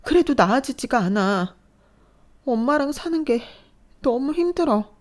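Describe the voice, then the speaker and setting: A woman's voice: mid-range voice, expressive, normal speed, voice pushed up, lightly acted. A woman voicing a fragile young adult daughter who speaks in a trembling, pleading, emotional way. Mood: upset.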